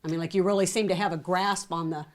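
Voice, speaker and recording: A woman's voice: on the low side, steady tone, fast talker. A woman interviewer in a meeting room, asking chatty, curious follow-up questions of authors.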